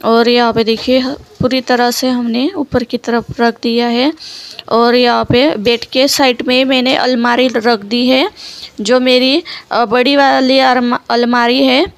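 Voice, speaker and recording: High-voiced woman, gravelly edge. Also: little pitch movement, slow, no room sound. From India. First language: Marathi. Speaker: home vlogger. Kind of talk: casual home tour narration. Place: small one-room home, indoors. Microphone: handheld phone.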